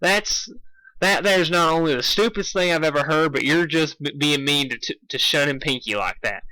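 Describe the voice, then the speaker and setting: A man's voice: fairly high pitch, moderate pace, sharp tone, dry recording, poor audio. A man voicing a stubborn, feisty female farm pony who talks in a folksy, scolding, defiant way.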